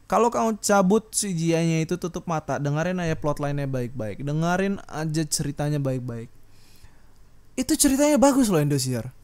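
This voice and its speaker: A man with a fairly high voice and an Indonesian accent hosts a solo podcast. He rants casually and off the cuff, wandering along in blunt slang with the odd mild swear word. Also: animated, fairly quick, darkish tone. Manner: blunt, rambling, slangy, mildly profane. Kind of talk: unscripted casual ranting monologue.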